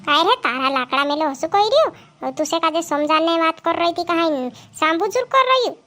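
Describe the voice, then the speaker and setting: A woman's voice: extremely high pitch, lively intonation, brisk, pushed and bright, exaggerated voice. A woman playing a scolding village mother in a cartoon comedy, nagging and chiding her son.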